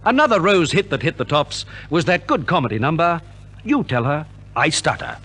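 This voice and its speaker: A man presents a 1950s radio show from a script. His voice sits on the high side and moves expressively up and down, with a polished, theatrical way of telling a story. It has the sound of a vintage broadcast transcription. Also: sing-song, normal speed. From Australia.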